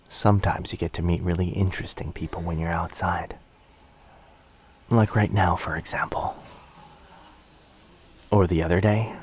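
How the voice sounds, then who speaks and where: A man playing a shy, sweet, anxious young stranger in a boyfriend ASMR roleplay, speaking hesitantly, softly and earnestly. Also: sits low, slight background noise. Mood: thoughtful, hesitant. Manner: hesitant, soft-spoken, earnest, self-doubting.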